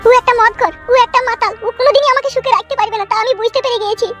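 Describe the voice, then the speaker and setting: Very squeaky Bengali young wife. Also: female voice, very fast. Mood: resolute. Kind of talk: comedy cartoon dialogue. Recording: music behind the voice.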